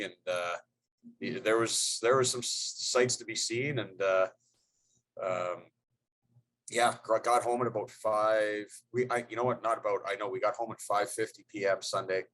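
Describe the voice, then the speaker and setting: A man's voice: on the low side, a bit bright, rough recording. A middle-aged man, a hockey head coach, answering press questions in a loose, rambling way with many fillers and restarts.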